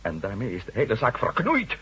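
A man's voice: fairly low pitch, wildly swooping pitch, brisk, bright, forceful tone, full character voice. A man playing an impulsive, decisive reporter and adventurer in an old radio drama. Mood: angry. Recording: noisy, dull old radio recording.

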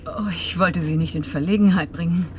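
An actress playing a reserved, quietly grieving woman in a radio drama, speaking with restraint and some hesitation. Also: fairly low pitch, fairly even intonation, fairly quick, a little hiss or hum, lightly acted. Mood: guarded.

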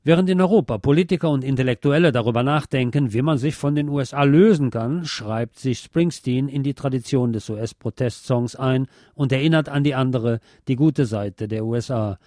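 A man presents a scripted commentary in a soft, mellow voice. The sound is very dry and close, with the relaxed tone carrying the reading. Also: mid-range voice, fairly quick, hardly any pauses, no room sound. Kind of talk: scripted political and music commentary.

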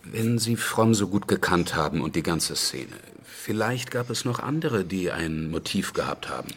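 An actor playing a matter-of-fact police chief inspector asking questions in a radio crime drama. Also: male voice, deep voice, lively intonation, normal speed. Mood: probing.

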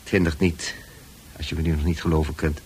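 A man acting a calm, authoritative psychiatrist in a radio drama, speaking in a measured, reassuring way. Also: extremely low pitch, husky, exaggerated voice. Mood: calm, firm. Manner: measured, reassuring, hypnotically slow at times. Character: calm, authoritative male psychiatrist soothing a patient. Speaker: actor in a radio play. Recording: noisy old radio play recording.

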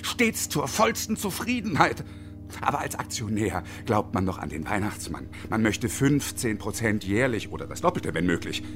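Sardonic jobless engineer, fifties, wordy and pedantic, lively pitch swings, radio play with faint music behind. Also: male voice, moderate pace. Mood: bitter irony. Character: bitter, sardonic unemployed engineer in his early fifties. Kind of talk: radio drama acting with inner monologue.

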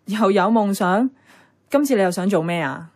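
A woman's voice: medium pitch, expressive, moderate pace, a little mellow, lightly acted. An actress with a Hong Kong Cantonese accent playing a sentimental young woman in a radio drama, narrating and acting. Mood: teasing. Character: sentimental young woman about to part from her love. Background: music, plucked guitar.

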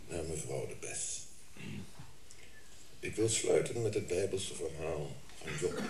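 An actor playing a formal, pious funeral orator in a radio play, solemn and measured. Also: male voice, low-pitched, darkish tone, exaggerated voice. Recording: echoey, distant, faint noise.

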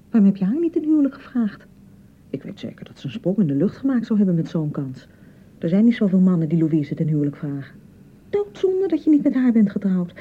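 An actress playing an insecure young newlywed wife in a radio drama, nagging and reproaching in repeated, sarcastic phrases. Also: female voice, fairly low pitch, husky, dull audio. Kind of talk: naturalistic radio drama acting.

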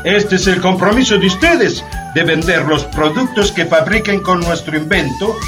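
A man's voice: sits high, moderate pace, recorded from a distance, music in the background, natural voice. An actor playing a polite but insistent foreign machinery salesman in a satirical radio drama. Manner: polite, persistent sales patter.

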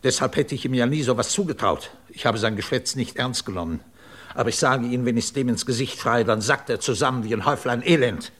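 An actor playing a proud, blunt head of a research institute in a 1950s German radio drama, with a husky voice and formal, emotional delivery. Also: male voice, mid-range voice, brisk, fairly bright tone, lightly acted. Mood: indignant, scornful.

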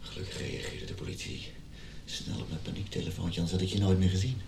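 An actor playing an injured husband in a radio drama, earnestly and emotionally pleading for reconciliation. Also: male voice, level tone, fast, vocal fry. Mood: pleading, defensive. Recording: roomy sound with background rumble.

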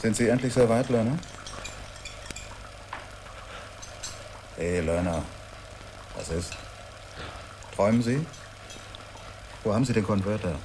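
A man's voice: on the low side, normal speed, pauses often, music in the background, natural voice. A man acting in a German radio play as an impatient, sarcastic boss who badgers in a brusque, blustering way. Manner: brusque, badgering, tacks "was?" onto sentences. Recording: noisy old radio production.

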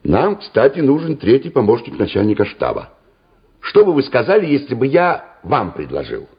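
An actor playing a brisk, benevolent lieutenant colonel with authority in a Soviet radio drama. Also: male voice, medium pitch, huge pitch swings, husky, full character voice. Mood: businesslike. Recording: muffled old radio drama recording.

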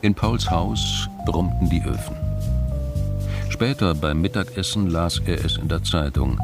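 A man narrating a scripted crime audio drama in a measured, literary storytelling manner. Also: a bass voice, vocal fry. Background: music.

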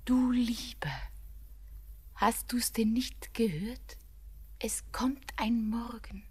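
A woman playing an anguished young bride in a German radio play, tender and despairing, shifting between hushed whispers and impassioned outcries. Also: on the high side, steady tone, takes it slowly, husky, heavily acted. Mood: hushed sorrow.

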